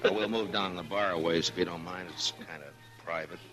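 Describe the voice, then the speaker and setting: An actor playing a stern, steady frontier marshal who speaks in a terse, calm and firm way, in 1950s radio drama style. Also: male voice, low-pitched, a little fast, music in the background, lightly acted, rough recording.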